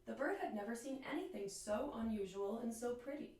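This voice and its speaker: A woman's voice: fairly high pitch, expressive, brisk, a little mellow. Woman reading a storybook in an echoey room. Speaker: teacher reading aloud to children. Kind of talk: children's storybook read-aloud. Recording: echoey room, distant microphone.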